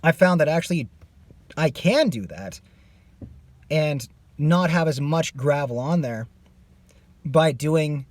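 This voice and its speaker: A man teaching a singing lesson. He is losing his voice and stops his explanation to demonstrate screams. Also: on the high side. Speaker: vocal coach.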